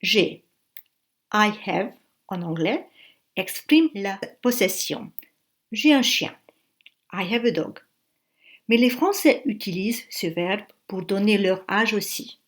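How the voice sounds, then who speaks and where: A woman in her forties teaching a beginner language lesson in a slow, careful classroom manner. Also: mid-range voice. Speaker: French teacher.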